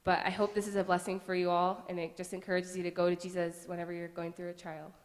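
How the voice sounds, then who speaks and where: Flat-voiced young woman in a church. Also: on the low side, fast talker. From Connecticut, USA. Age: about 19. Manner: earnest, plain, steady. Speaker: church member giving testimony. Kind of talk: personal testimony in a church service. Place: church sanctuary. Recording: long echo of a church hall.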